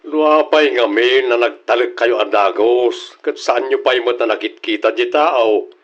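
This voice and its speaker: Practical adult son for audio drama, played by a man: medium pitch, admonishing and concerned, slightly muffled recording. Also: measured pace, lightly acted. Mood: frustrated, reproachful. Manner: admonishing, questioning. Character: stern, practical grown son, frustrated yet concerned.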